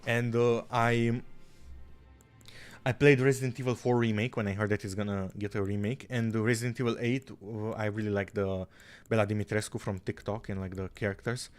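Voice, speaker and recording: A man's voice: lowish voice, level tone, brisk, smooth, faint music behind. A young man from Romania, chatting casually as a gaming streamer, rambling, swearing and saying "bro" a lot.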